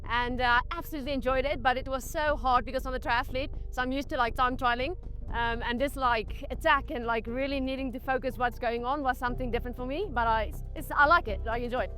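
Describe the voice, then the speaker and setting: A woman triathlete chats in a casual, enthusiastic way, dropping lots of fillers, her voice pitched high. Faint music sits in the background. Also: steady tone, fast talker, sharp tone. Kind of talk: post-race interview.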